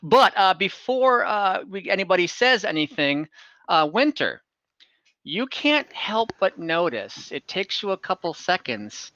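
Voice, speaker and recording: A man's voice: sits high, very animated, measured pace, bright, forceful tone, dry recording. A man casually narrating a tabletop game as game master over a video call. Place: home room, indoors, on video call. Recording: dull, lo-fi video-call audio.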